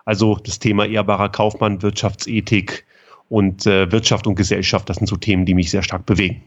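A man's voice: lowish voice, little pitch movement, fairly quick, highs cut off. A man who grew up in Germany, a consultant explaining his work thoughtfully in an interview, with frequent hesitations. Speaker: guest, lean consultant. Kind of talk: conversational interview answers.

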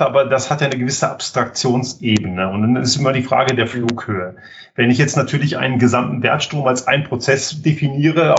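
A middle-aged man from Germany talks as a podcast guest, working through his points with many small hesitations. His voice comes over a slightly dull, lo-fi connection with faint noise and clicks behind it. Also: mid-range voice. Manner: thoughtful, explains at length with frequent fillers. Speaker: guest, economic physicist and consultant. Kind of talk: podcast interview, explanatory.

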